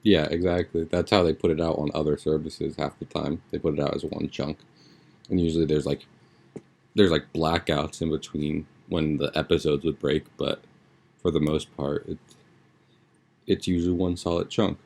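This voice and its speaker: A man's voice: very deep voice, level tone, normal speed, gravelly, a little mellow. A young man chatting casually as a podcast host, with an informal, slangy, rambling way of talking. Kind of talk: casual podcast chat, episode recap.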